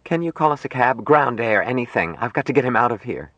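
A man's voice: mid-range voice, expressive, a little fast, a bit bright, no echo, dull audio, pitched above the performer's own voice. Man putting on a worried, affectionate young woman. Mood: urgent, worried.

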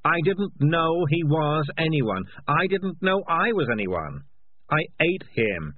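A man's voice: on the high side, big pitch swings, slow pace, phone-quality sound, a little hiss or hum, pitched above the performer's own voice. A man voicing a talking tomcat in a storybook, gloomy, defensive and guilt-ridden, blustering and evasive. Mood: defensive, agitated.

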